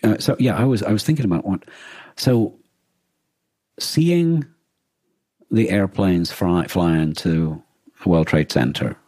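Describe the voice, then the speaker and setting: A man co-hosting a podcast, talking casually and thoughtfully, often halting to rephrase what he says. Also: low-pitched, dark tone, dry recording. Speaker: podcast co-host. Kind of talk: casual discussion of a radio drama and war.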